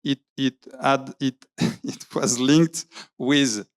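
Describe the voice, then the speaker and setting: A man's voice: mid-range voice, takes it slowly. A man giving a talk in English, hesitating often, searching for words and restarting his sentences. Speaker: graphic novelist giving a talk. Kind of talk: public talk with slides. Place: lecture venue.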